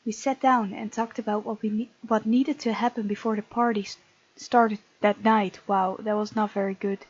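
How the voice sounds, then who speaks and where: A woman's voice: mid-range voice, steady tone, normal speed, slightly rough, dry recording, dull audio. A woman hosting a gaming let's play, reading aloud and adding chatty, casual asides. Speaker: gaming video host.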